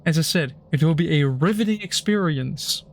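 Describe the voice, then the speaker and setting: A young man on a stream, explaining technical points in a dry, deadpan way with puns. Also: on the high side, normal speed, slight background noise. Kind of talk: casual chat while gaming.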